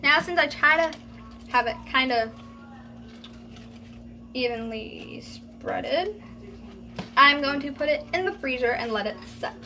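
High-voiced teen girl vlogging in kitchen. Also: expressive, slow, long pauses, bright, forceful tone. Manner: hesitant, chatty, trailing off mid-sentence. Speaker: home cooking vlogger. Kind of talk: casual cooking demonstration. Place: small home kitchen, indoors. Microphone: phone camera on the counter. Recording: home video with background noise.

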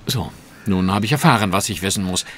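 An actor playing a confident, sardonic gentleman detective who instructs and teases dryly in an audio drama. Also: deep voice, lively intonation, fairly quick, slight background noise. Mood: composed, instructive. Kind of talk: German audio drama acting.

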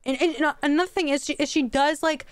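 A woman's voice: high-pitched, fast, slight background noise. A young woman streaming from home, chatting casually and rambling with restarts, her voice a little worn from hours of talking. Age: young adult. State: voice going hoarse from a long stream. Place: home streaming room, indoors. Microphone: studio mic on a boom arm.

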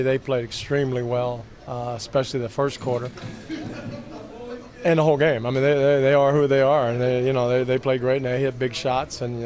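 Flat-voiced older coach at press podium. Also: male voice, medium pitch. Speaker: head coach. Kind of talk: postgame press conference. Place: press room. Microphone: podium mics.

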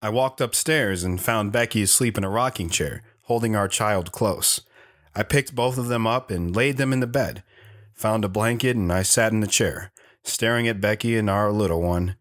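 A man's voice: lowish voice, normal speed, fairly bright tone, natural voice. A man playing a reflective, stoic young soldier and father in an audio drama, with an understated delivery. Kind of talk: audio drama first-person narration and dialogue. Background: guitar music.

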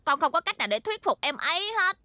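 A woman voicing a bossy, scheming, expressive little schoolgirl in a cartoon dub. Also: high-pitched, fast, heavily acted. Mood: grumbling. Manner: expressive, pleading and indignant. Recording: music behind the voice.

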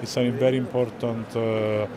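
A middle-aged man with an Italian accent explaining artworks to visitors, pausing often to search for words. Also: mid-range voice, level tone. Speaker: art gallerist presenting works. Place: large indoor art fair hall. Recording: busy hall crowd noise.

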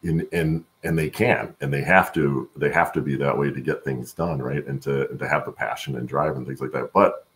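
A middle-aged man with a gravelly voice answering interview questions conversationally on a video call from home, haltingly thinking aloud. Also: very deep voice, steady tone, a bit bright. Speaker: guest, supply chain expert and voice-over announcer.

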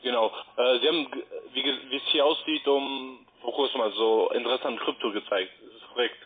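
Man, telesales caller, insistent and formal, average pitch, narrow telephone sound. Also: fairly even intonation, a little fast, a little hiss or hum. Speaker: call centre sales agent. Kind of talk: phone sales call. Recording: tinny narrow phone line.

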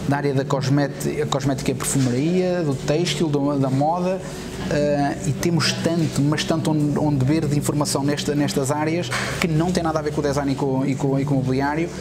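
A man in his forties with a European Portuguese accent explains his company's work in an interview, often pausing mid-phrase to find his words. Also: on the high side, fairly even intonation, crowd noise. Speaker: furniture company executive. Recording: prominent background music. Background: music.

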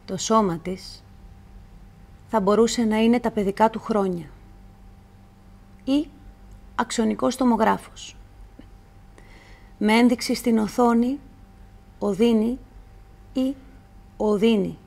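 A woman reciting literary poetry in a measured, deliberate way, with pauses between phrases. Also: a little high, gravelly, darkish tone. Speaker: poetry reader.

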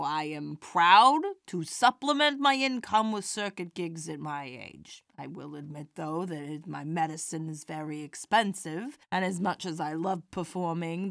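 A woman playing a kindly, proud elderly comedienne in an audio drama, genteel and gently chiding with folksy quips. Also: fairly low pitch, unhurried, vocal fry, few pauses, no room sound, studio quality. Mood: gentle, wry.

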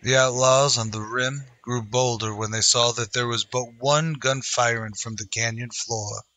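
A man narrates in the dramatic manner of an old-time radio western. His voice is bright and forceful, sitting at a medium pitch. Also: fairly even intonation, normal speed.

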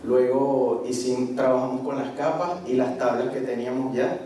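A middle-aged man with a Venezuelan accent gives an informal presentation in a halting way, often adding little tags to check he is followed. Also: medium pitch, fairly even intonation, warm tone. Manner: halting, with frequent tag checks. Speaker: course participant presenting, marine geology researcher. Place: medium-sized indoor seminar room. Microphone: handheld microphone through PA, picked up by far camera. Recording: echoey room, distant camera microphone, noisy.

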